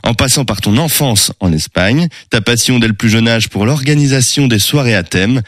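A man presenting a radio show, reading a scripted introduction in a measured, friendly announcer manner before interviewing a guest. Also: medium pitch, lively intonation, a bit bright, dry recording, clean studio sound. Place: radio studio.

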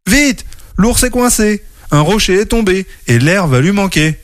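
A tiny brave ant cries out in a small, high, pressing voice, as a man reads the storybook aloud. The sound is dry and close. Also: lively intonation, a little slow, dry recording. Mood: urgent. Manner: high-pitched crying for help.

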